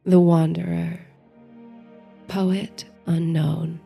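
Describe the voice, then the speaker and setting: Woman reading a sleep story, soft dark tone w lots of vocal fry, soothing n measured, bit of music behind. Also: fairly low pitch, level tone. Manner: soothing, measured, dreamy delivery. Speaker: host and narrator of a sleep story series. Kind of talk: bedtime story narration, guided meditation.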